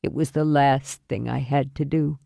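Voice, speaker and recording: A dying middle-aged father as a female narrator plays him, low and mellow, speaking weakly and haltingly. He is weary and regretful with a wry tough streak, in a dry audiobook recording. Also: steady tone, gravelly, no room sound. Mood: weary tenderness. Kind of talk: audiobook thriller character acting.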